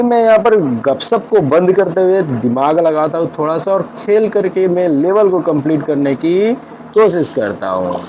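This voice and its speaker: A man's voice: high voice, a little mellow, lo-fi sound, slight background noise. A man doing live puzzle game commentary as host, in a rambling, repetitive way. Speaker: gaming host.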